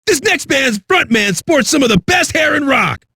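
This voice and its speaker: A man playing a brash, foul-mouthed metal radio DJ in a video game, with a raspy voice and rowdy, swaggering delivery. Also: extremely high pitch, lively intonation, moderate pace, bright, forceful tone, dry recording, studio quality, full character voice. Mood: rowdy excitement. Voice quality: raspy, gravelly. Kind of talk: high-energy rock radio DJ patter.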